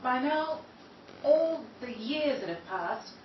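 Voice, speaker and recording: A woman's voice: sits high, expressive, slow, echoey room, recorded from a distance, muffled recording, a little hiss or hum. A woman playing a bitter estranged daughter. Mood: anxious. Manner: indignant, sharp retorts. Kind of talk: 1930s radio drama acting.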